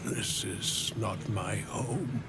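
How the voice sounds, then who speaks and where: An actor playing a weary, dignified damned architect in a fantasy game, solemn and halting, often sinking to a near-whisper. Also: male voice, on the low side, creaky, heavy background noise, full character voice. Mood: grim resignation. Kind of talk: dramatic video game acting. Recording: prominent music behind the voice.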